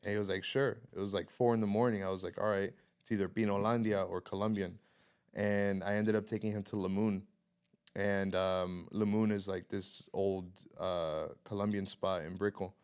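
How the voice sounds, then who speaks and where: A young man from the Miami area telling stories as a podcast guest in a relaxed, casual way, laughing often and mixing in Spanish. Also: lowish voice, normal speed, clean tone. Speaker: podcast guest, photographer and videographer. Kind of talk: casual chat.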